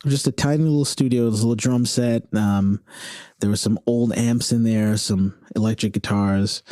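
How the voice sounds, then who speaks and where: A man with a low, mellow voice speaks as a guest in a casual interview. He feels his way through sentences, often restarting and correcting himself. The sound is close and dry, with very little room around it. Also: no echo. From southern Africa (South Africa, Zambia) and Virginia, US. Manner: halting, self-correcting, thinking aloud. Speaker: interview guest, singer-songwriter.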